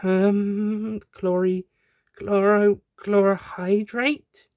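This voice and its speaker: A man's voice: sits high, little pitch movement, extremely slow, a little mellow, voice pushed up. A man voicing a female time-travelling adventurer in an audio story, hesitant and indignant, with groans. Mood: dazed, puzzled. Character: cross, groggy female time-travelling Doctor.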